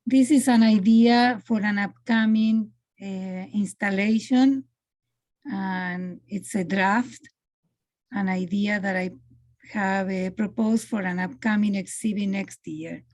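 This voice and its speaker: A woman from Argentina with an Argentinian Spanish accent, presenting her art on a video call, halting and searching for words. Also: mid-range voice, steady tone, darkish tone. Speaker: presenting artist. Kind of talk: online artist presentation.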